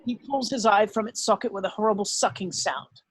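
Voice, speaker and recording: A man's voice: sits high, brisk, fairly bright tone, husky, no echo, low-quality recording. A man narrating scripted stage directions at an amateur drama table read.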